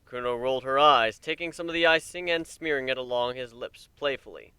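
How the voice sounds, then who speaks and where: A man's voice: mid-range voice, fairly quick, sharp tone, clean tone, no echo. A man narrating a story as a dramatic reading.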